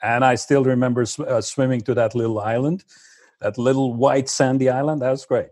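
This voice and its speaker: A man from Slovenia, a podcast guest chatting casually, pausing often with fillers and restarts. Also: mid-range voice, steady tone, darkish tone, no room sound, studio quality. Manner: hesitant, many fillers and restarts. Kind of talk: casual interview chat.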